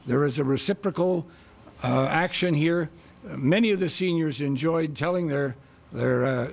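An older man from Canada speaking at a community meeting with a loud voice, pausing often with fillers and restarts. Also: a little high. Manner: hesitant, with many fillers and restarts. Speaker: guest speaker for seniors. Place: high school music room, small stage. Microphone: stand mic close in front.

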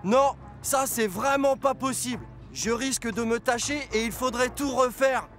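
A man's voice: extremely high pitch, fairly even intonation, unhurried, a bit bright, faint music behind, exaggerated voice. A man voicing a scruffy, good-natured young engine in a children's cartoon, with a boyish, earnest manner. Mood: reluctant. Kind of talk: dubbed children's cartoon acting.

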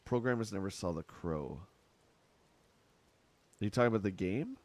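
A man's voice: lowish voice, expressive, moderate pace, darkish tone. A middle-aged man with a Canadian accent, chatting casually with his chat while playing a game on a live stream at home. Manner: rambling, chatty, answers chat.

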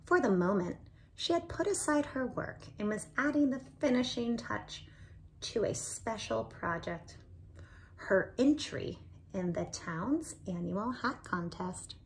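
Woman teacher, 40s, slow lively read-aloud on a phone camera at home. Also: fairly high pitch, slight background noise, rough recording. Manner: expressive, slow, talks to listeners as friends. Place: indoors, small room at home.

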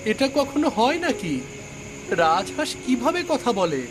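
A man's voice: extremely high pitch, very animated, measured pace, noisy background, full character voice. A man voicing a kind-hearted, naive village fisherman in a cartoon, earnest and exclaiming in wide-eyed fashion. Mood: amazed, bewildered. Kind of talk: cartoon voice acting.

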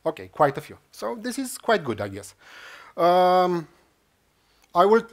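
A man's voice: sits high, sing-song, a little slow. Man giving a conference talk. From Romania. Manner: many "uh" fillers and restarts, explaining to a crowd. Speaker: conference speaker. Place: large lecture hall stage.

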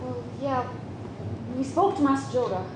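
A woman's voice: high-pitched, normal speed. A woman taking part in improvised live role-play, hesitant but eager, in a large room. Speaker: audience participant. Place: large dark indoor performance room. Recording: very echoey noisy crowded room, far mic.

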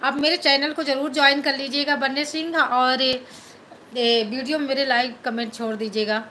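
A woman's voice: high-pitched, fairly even intonation, normal speed, sharp tone, a little hiss or hum, poor audio. A middle-aged woman from Madhya Pradesh hosts a casual live stream from a small indoor room. She chats on her phone, answers viewers' comments and thanks them again and again, mixing English words into her talk. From Madhya Pradesh, India. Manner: chatty, thanks viewers over and over, mixes English into Hindi. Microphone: phone held at arm's length.

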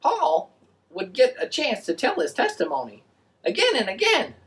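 A woman voicing an excitable, friendly boy puppet, explaining things in an animated way for a children's show. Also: fairly high pitch, normal speed, recorded from a distance. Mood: earnest.

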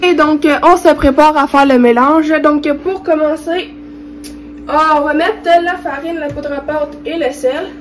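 A woman's voice: sits high, moderate pace. A young woman with a Quebec French accent and a congested, cold-affected voice, giving an informal, hesitant cooking tutorial to camera. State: has a cold. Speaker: vlogger. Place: home kitchen. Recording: clear background noise.

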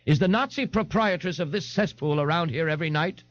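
A man's voice: on the high side, fairly quick, highs cut off, voice pushed up, close to an everyday voice. A man voicing a pompous, overweight, self-styled intellectual man for an audiobook, enunciating with grand indignation. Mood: haughty. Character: pompous, bombastic, overweight male slob intellectual. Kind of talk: audiobook character voice.

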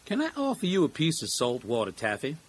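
A man performs a middle-aged small-town lawyer for an audio drama. The voice is husky and swings widely in pitch. He is genial and eccentric, taking his time like a folksy storyteller. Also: wildly swooping pitch, heavily acted. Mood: genial, coaxing. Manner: folksy, rambling, deliberate storyteller.

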